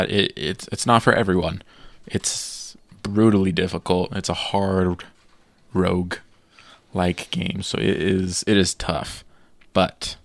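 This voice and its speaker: Low gravelly tired-sounding man. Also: no echo. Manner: relaxed, hesitant with many fillers. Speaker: podcast co-host. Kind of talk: casual chat. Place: small room with green screen.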